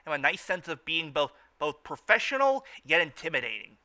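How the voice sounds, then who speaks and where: Bright, stammering man with a higher voice. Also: very animated, a little fast. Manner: stammering, repeats words and phrases often. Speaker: podcast co-host reviewing a cartoon. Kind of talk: casual fan discussion.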